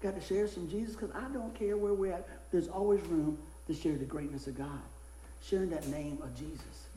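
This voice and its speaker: A middle-aged man, a pastor, preaching a casual sermon with a hoarse voice that he is losing, folksy and animated in his stories. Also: moderate pace, reverberant, slight background noise, poor audio. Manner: folksy, animated storytelling with rising pitch in anecdotes. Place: church.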